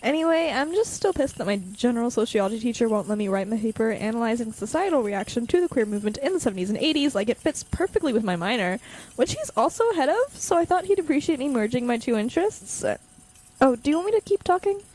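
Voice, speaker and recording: A woman's voice: a little high, sing-song, quick pace, a little mellow, rough recording. A young woman playing a blunt, chatty college-student villain in a naturalistic audio drama. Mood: chatty, annoyed. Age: young adult.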